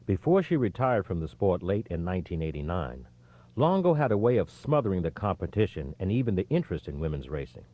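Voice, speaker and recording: A man reading scripted nonfiction as an audiobook narrator, in a measured, expressive storytelling delivery. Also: low voice, dry recording, lo-fi sound.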